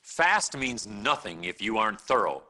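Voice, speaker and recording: Pompous middle-aged chief doctor, self-satisfied, lowish voice with very animated pitch. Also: male voice, normal speed, heavily acted. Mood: impatient. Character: smug, vain, lazy hospital chief of staff. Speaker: actor in game cutscenes. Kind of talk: live-action video game cutscene acting.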